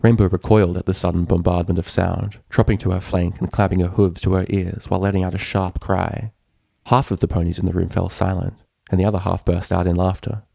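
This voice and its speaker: A man's voice: deep voice, little pitch movement, fairly quick, creaky, mellow, no room sound, clean studio sound. A man reading a story aloud as scripted narration. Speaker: fan fiction reader, narrator.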